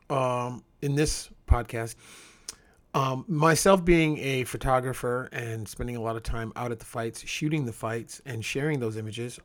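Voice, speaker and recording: Upbeat man hosting a casual podcast. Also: mid-range voice. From Chicago. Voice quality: relaxed, upbeat with frequent fillers. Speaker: podcast host. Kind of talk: casual podcast intro and interview.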